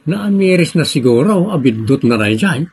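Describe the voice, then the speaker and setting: A man's voice: fairly high pitch, expressive, moderate pace, a little mellow, husky, exaggerated voice. A man with a Filipino accent playing a grumbling adult family member in an audio drama, talking conversationally. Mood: wary.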